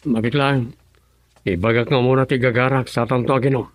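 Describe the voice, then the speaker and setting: An actor playing a poor, bitter husband who wants to get rich, speaking earnestly, in a radio drama. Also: male voice, mid-range voice, fairly even intonation, a little fast, no room sound, lightly acted. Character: bitter grown husband, poor and wanting riches.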